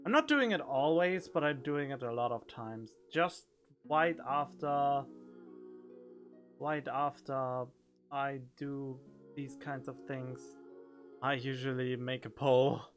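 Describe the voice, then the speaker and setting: A man's voice: medium pitch, slow, a bit bright. A man streaming a video game, giving casual, chatty, reactive commentary into a desk microphone. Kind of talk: casual let's play commentary. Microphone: desk condenser microphone. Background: game music.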